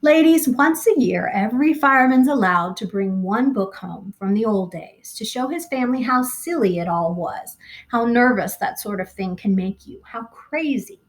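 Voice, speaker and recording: A brittle, sugary wife coaxing with forced brightness, as a woman reader puts her on. The voice sits higher than the reader's own and swings lively up and down. A storybook voice, sweet on top and tense beneath. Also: unhurried, low-quality recording. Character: brittle, falsely cheerful wife Mildred. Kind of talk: audiobook character voice.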